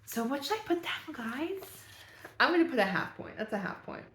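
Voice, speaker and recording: A woman's voice: high voice, very animated, normal speed, a bit bright, echoey room, a little hiss or hum. A young woman vlogger chatting casually and thinking aloud as she reads through a quiz, laughing at herself now and then. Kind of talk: casual talk while reading a quiz aloud.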